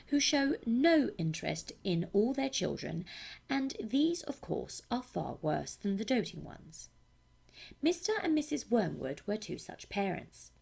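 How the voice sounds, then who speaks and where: A woman giving a scripted audiobook-style narration in a measured, expressive storytelling manner. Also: a little high, gravelly, slightly rough. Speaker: voice actor narrating demo reel.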